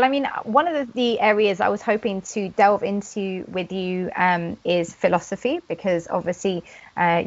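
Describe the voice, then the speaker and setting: A woman hosts an interview in a mid-pitched voice. She asks careful questions and murmurs agreement while she listens. The recording sounds slightly dull.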